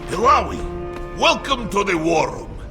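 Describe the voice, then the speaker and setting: A man playing a droll, curious adventurer in a video game, with drawn-out, playful emphasis. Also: high voice, sing-song, full character voice. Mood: wry. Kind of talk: dramatic video game acting. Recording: clear music behind the voice.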